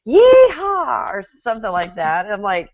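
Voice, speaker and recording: A woman's voice: fairly high pitch, huge pitch swings, normal speed, a little mellow, no echo, muffled recording. A middle-aged woman from Texas with a Texan accent, hosting a casual interview, chatty and often laughing. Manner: chatty, laughs often, reads viewer comments aloud. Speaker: host.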